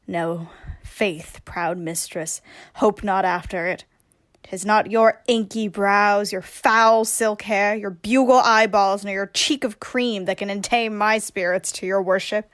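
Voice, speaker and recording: A woman plays a bossy, teasing heroine posing as a youth in a Shakespearean radio play. Medium-pitched and recorded very dry, the delivery is theatrical and scolding. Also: fairly even intonation, slow pace, fairly bright tone, dry recording. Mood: scornful.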